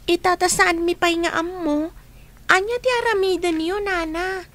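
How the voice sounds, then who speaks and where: A very high-pitched orphaned child of radio drama. The voice is bright and plaintive, with an anxious, questioning manner that stays respectful toward elders. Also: female voice, fairly even intonation, moderate pace. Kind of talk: radio drama acting in Ilocano.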